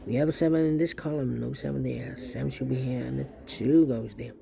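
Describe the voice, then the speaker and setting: A man's voice: mid-range voice, fairly even intonation, a little fast, vocal fry, a crowd in the background. A man giving a step-by-step puzzle tutorial, thinking aloud and often repeating short phrases as he mutters to himself. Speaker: puzzle instructor.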